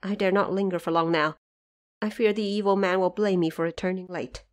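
A woman's voice: lowish voice, steady tone, fairly quick, lightly acted. A woman voicing a devoted, anxious noblewoman and mother who instructs earnestly in an audio drama.

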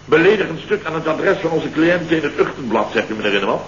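A man's voice: on the high side, expressive, fast talker, close to an everyday voice. An actor playing an exasperated, easily flustered office boss in a radio comedy from around 1970, sputtering and repeating his words. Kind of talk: 1970s Dutch radio comedy acting. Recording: dull, noisy, roomy old radio recording.

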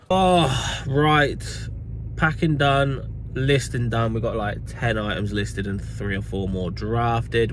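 British reseller bloke, blocked-up nose from a cold, upbeat slangy patter, phone held out, bit of hiss. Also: male voice, medium pitch. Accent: British English. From United Kingdom. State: congested head cold, bunged up. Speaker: eBay reseller vlogger. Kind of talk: casual vlog to camera. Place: home storage room and parked car, indoors. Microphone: handheld camera at arm's length.

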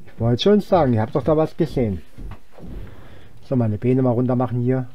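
A man's voice: medium pitch, a little fast, lo-fi sound, slight background noise. A young man giving casual gameplay commentary, rambling and thinking aloud as he plays. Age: young adult. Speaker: let's player.

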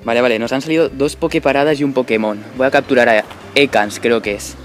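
A young man from Spain with a Valencian accent, chatting jokily in a casual outdoor gaming vlog and bursting into excited shouts, recorded on a handheld camera by a dry riverbed. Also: medium pitch, quick pace, slight background noise. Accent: Valencian Spanish. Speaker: vlog host.

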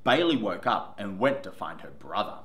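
Male teacher, 40s, slow and animated storybook reading, echoey room. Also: on the low side. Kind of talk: picture book read-aloud. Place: classroom, indoors. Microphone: camera in front, seated. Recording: echoey classroom.

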